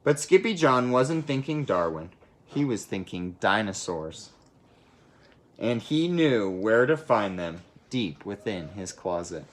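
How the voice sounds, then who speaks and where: A teacher reading a storybook aloud to children, expressive and speaking directly to young listeners. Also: medium pitch, slow. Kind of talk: friendly storybook read-aloud.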